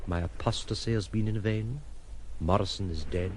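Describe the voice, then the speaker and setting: An actor playing a solitary, disillusioned island exile, speaking in introspective, rueful monologue in an audio drama. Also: male voice, on the low side, normal speed, background noise, lightly acted. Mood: bitter, melancholy.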